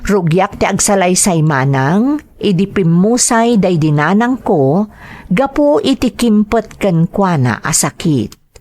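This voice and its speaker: A woman's voice: mid-range voice, sing-song, takes it slowly, darkish tone, no echo. A woman hosting a story podcast, reading greetings and listener letters aloud. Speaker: podcast host and story reader.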